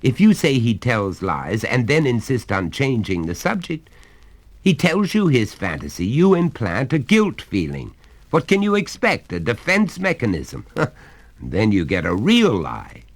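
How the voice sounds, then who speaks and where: A man's voice: mid-range voice, wildly swooping pitch, measured pace, voice pushed up, full character voice. A man voicing a confident, patronising father who lectures his small son in a coaxing, superior way. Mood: lecturing. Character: confident, patronising middle-aged English father. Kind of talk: audiobook reading.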